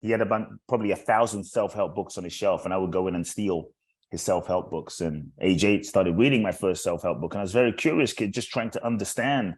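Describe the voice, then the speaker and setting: A man born in Ghana and raised in South London, a guest speaker and author telling his own story in a measured way with pauses and fillers. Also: low voice. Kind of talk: reflective storytelling interview answers. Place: remote recording from LA.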